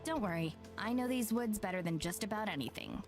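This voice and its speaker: A self-assured local guide in a visual novel, played by a woman. Her mid-pitched voice is matter-of-fact and easygoing, with clear background music underneath. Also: expressive, a little fast, lightly acted. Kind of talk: scripted game dialogue.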